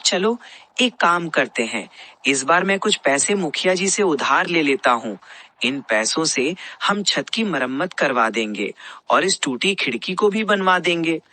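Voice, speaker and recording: Man playing earnest poor blacksmith, bright voice. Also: a little high, expressive, measured pace. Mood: resolved. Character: poor humble village blacksmith, earnest, pleading. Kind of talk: Hindi moral cartoon story dialogue.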